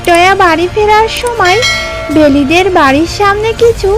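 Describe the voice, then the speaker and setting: Very high-pitched woman storyteller. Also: expressive, moderate pace, music in the background. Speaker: narrator. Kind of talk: scripted children's story narration.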